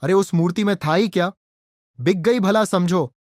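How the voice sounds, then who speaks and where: A man's voice: high voice, moderate pace, a little mellow, no room sound, lightly acted. A man plays a crafty village landlord in a Hindi cartoon. He is dismissive yet persuasive, a cheat talking down to villagers. The voice is recorded close and dry. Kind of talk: Hindi cartoon story dubbing.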